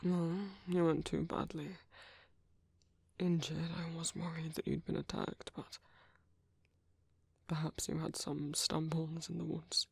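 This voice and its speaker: A man acts out a kindly vampire priest with a British accent, speaking very breathily with a gravelly fry. He is polite and hesitant, softly reassuring a stranger in a half-whisper. It is an intimate ASMR roleplay. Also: on the high side, flat delivery, measured pace, pauses often, dry recording, full character voice. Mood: gentle, apologetic. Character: gentle, caring male vampire priest sheltering a stranger. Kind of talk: soft-spoken ASMR roleplay.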